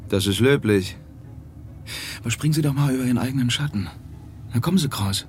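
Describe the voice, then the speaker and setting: A man's voice: mid-range voice, animated, brisk, darkish tone, faint music behind, close to an everyday voice. An actor playing a cool, evasive male police detective in a German radio drama, laconic and guarded.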